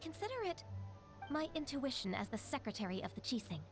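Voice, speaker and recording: An actress voicing a calm, formal female secretary in a game. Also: sits high, over loud music. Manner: formal, polite, measured.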